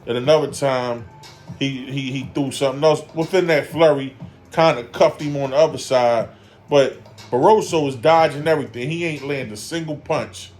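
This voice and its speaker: A man's voice: on the high side, a little mellow. A man in his forties talking casually in a boxing vlog, loose and rambling, leaning on fillers and repeating his points for emphasis. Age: 40s. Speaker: boxing commentary vlogger.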